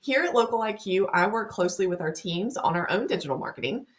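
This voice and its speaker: A woman's voice: medium pitch, lively intonation. A woman in her thirties with a Southern US accent, hosting a marketing webinar in a friendly, chatty way. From Louisiana, US. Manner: friendly, chatty, talks fast. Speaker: webinar host. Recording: dull lo-fi web-call audio.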